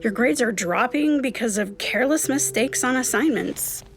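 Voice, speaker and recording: An actress playing a firm but kindly schoolteacher, measured and coaxing, in a family audio drama. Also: female voice, a little high, animated, faint music behind. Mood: concerned.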